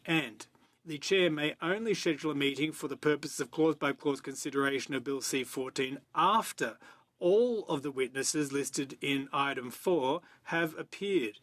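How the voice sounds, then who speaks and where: A man formally reading out procedural text in a measured way as a committee member. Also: mid-range voice, fairly bright tone, no room sound. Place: committee meeting room.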